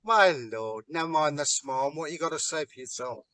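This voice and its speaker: A stern, weary old king of the gods, as a male storyteller plays him, issuing orders in a dry, close voice. The tone sits a little high for a man. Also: moderate pace, pushed and bright, no echo. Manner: stern, world-weary and commanding.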